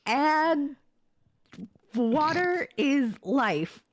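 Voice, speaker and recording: A woman's voice: sits high, animated, extremely slow. A woman, a voice actor guest, chatting casually in a playful, mock-dramatic way. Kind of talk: casual after-show chat.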